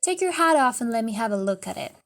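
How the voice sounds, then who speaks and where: Female shop owner for a storybook, played by a woman reader: curt, businesslike, fairly high voice. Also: very animated. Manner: brisk, matter-of-fact. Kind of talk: storybook character reading.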